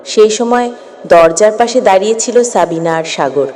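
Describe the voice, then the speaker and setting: A woman narrating a scripted story with measured, expressive phrasing. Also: mid-range voice, a little hiss or hum.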